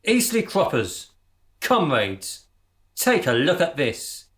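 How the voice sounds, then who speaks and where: An actor playing a grandiose leader of an amateur crew, speechifying with pompous passion in a radio comedy drama. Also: male voice, fairly high pitch, wildly swooping pitch, slow pace, fairly bright tone, full character voice. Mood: grandiose, excited.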